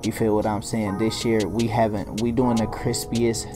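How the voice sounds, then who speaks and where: A man's voice: lowish voice, moderate pace. A young man hosting his own animation channel, talking casually in slang as he walks through a drawing tutorial. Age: young adult. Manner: hyped barber persona at the start, then relaxed mumbling while working. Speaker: cartoon animator and channel host. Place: home studio at desk. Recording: music playing under the voice.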